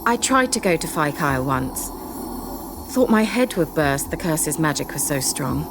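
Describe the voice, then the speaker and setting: An actress playing a haughty, sly sorceress in a video game, speaking in articulate, formal, dryly condescending phrases. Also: female voice, low-pitched, big pitch swings, moderate pace, background noise. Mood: wry, instructive. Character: haughty, sly young sorceress posing as village witch. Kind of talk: dramatic video game dialogue. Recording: game music under the voice.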